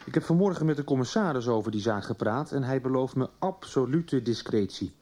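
A man's voice: medium pitch, animated, fairly quick, mellow, natural voice. A man acting in a 1990s radio soap as a cautious, placating small-town mayor.

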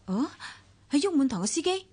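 High-voiced reflective woman in Cantonese radio drama. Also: very animated, a little fast, noisy background. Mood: puzzled. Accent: Hong Kong Cantonese. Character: concerned, reflective woman speaking to a businessman and narrating her thoughts. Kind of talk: Cantonese radio drama acting with inner narration. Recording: music under the voice.

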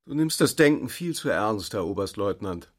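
Questioning male friend, husky, animated intonation, sceptical, radio drama. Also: fairly low pitch, moderate pace.

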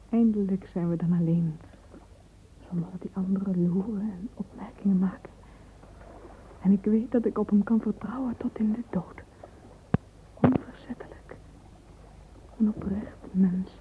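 A woman's voice: mid-range voice, slight background noise, lightly acted. An actress playing a dreamy, romantic young woman in a radio play monologue, whispering intimately and fervently through a narrow, phone-like recording. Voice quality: breathy, whispery. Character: dreamy romantic young woman lost in yearning love fantasies.

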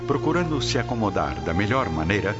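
Male narrator, Brazilian accent, deep bass register, solemn scripted storytelling, slightly muffled recording. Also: very animated. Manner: solemn, literary, measured phrasing. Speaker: narrator of a radio drama. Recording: dull lo-fi audio under loud music.

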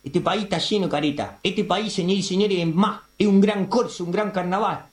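A roomy, echoing recording of a man acting an indignant Argentine radio editorialist. The voice sits fairly high, and the delivery is pompous and crude, like a street orator in a comic radio play. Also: moderate pace, lightly acted. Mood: ranting. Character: ranting, vulgar, self-important male radio editorialist. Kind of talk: comic radio theatre monologue.

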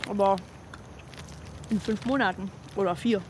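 A woman's voice: medium pitch, moderate pace, a little mellow, close to an everyday voice. An actress playing a talkative, caring, scatterbrained woman in a naturalistic radio drama, musing and rambling conversationally. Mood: musing, motherly. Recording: clearly noisy outdoor ambience.

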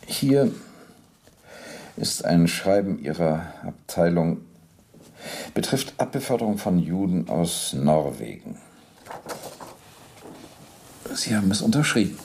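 An actor playing a stern, methodical police captain who questions a war criminal and reads out evidence formally in a German radio docudrama. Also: male voice, deep voice, big pitch swings, measured pace, creaky, a little mellow, slight background noise, exaggerated voice. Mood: methodical, probing. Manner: formal, probing, insistent. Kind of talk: German radio docudrama acting, reading documents aloud.

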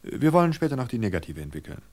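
A man voicing a controlling, suspicious husband who speaks with quiet amusement. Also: mid-range voice, very animated, fast talker, voice pushed up. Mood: sly. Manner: amused, insistent. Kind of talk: audiobook reading of a thriller.

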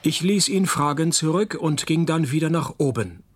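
An actor playing a wry, world-weary private detective in a radio crime comedy, deadpan and sardonic. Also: male voice, on the high side, moderate pace. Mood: dry, wry. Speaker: detective and narrator. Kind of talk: radio crime comedy, first-person noir narration.

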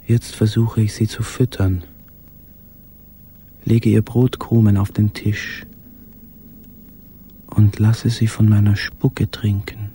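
An actor playing a lonely man hidden in a cellar, quietly obsessive, in a subdued, introspective radio play monologue. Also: on the low side, level tone, takes it slowly, pauses often, a little hiss or hum. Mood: subdued, absorbed. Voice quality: husky, dark, muted. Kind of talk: radio play monologue, literary reading of a letter.

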